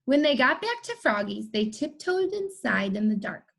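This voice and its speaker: A woman's voice: high-pitched, big pitch swings, normal speed, gravelly, fairly bright tone. A woman reading a picture book to young children, with playful sound words and short chatty reactions. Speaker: read-aloud co-presenter for young children. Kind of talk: animated storybook narration with chatty asides.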